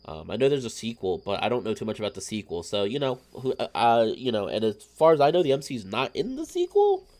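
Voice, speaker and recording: A man's voice: mid-range voice, huge pitch swings, a little fast, a little mellow. A slightly sick man hosting a let's play stream, chatting in a rambling, apologetic way. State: a little sick.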